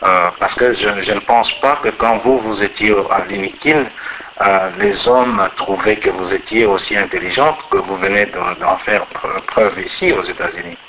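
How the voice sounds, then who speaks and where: A man from the Congo with a Congolese accent, interviewing in a halting way and repeating words mid-phrase as he builds his questions. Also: fairly low pitch, background noise. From Democratic Republic of the Congo.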